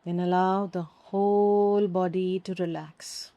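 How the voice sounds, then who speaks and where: A woman teaching yoga nidra, giving calm, soothing guided meditation instructions with long pauses. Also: on the low side, muffled recording.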